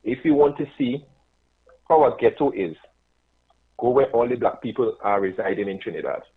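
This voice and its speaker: A man in his thirties with a Tobagonian accent, calling into a radio show and speaking earnestly with halting restarts. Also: medium pitch, husky. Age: 30s. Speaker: caller. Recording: phone line.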